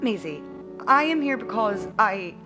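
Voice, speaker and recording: A woman voicing a huge, kindly older male mentor with a calm, matter-of-fact manner in a bedtime story. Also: medium pitch, unhurried, music in the background, lightly acted. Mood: nervous, heartfelt.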